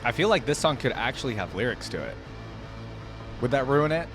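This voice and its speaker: A male reaction host talks casually over prominent music. His mid-pitched voice muses aloud in loose slang, full of fillers, as he gives his verdicts. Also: very animated, fairly quick. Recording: music playing behind voice. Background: loud game music.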